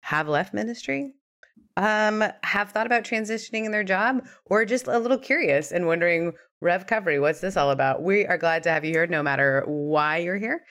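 A woman's voice: fairly low pitch, expressive, normal speed, a bit bright. A woman hosting a podcast in casual chat, friendly and talkative, laughing along and using an occasional um. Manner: chatty and friendly, laughs along, adds fillers like um. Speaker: podcast host.

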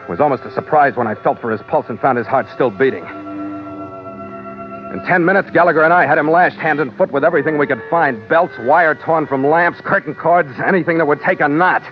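An actor playing a tough, wry sea captain who narrates an old radio adventure in a clipped, hard-boiled manner. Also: male voice, on the high side, brisk, natural voice. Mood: tense, dramatic. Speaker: narrator and lead character. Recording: muffled vintage radio recording, loud music. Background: dramatic music.

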